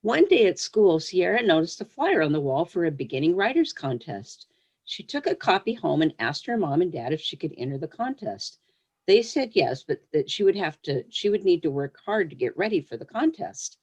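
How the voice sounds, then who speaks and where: Low-pitched woman, children's author. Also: very animated, normal speed, a little mellow, slightly rough, lo-fi sound. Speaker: children's book author, guest. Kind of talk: storybook read-aloud, then casual interview answers.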